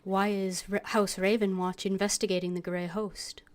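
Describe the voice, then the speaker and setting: A woman game streamer giving casual, unhurried live commentary and reading bits of dialogue aloud. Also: mid-range voice, little pitch movement. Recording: music behind the voice.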